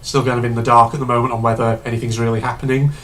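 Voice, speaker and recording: A man hosting a casual reaction video, rambling and chatty with lots of fillers, his voice slightly hoarse and congested from a cold. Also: medium pitch, little pitch movement, racing, a bit bright.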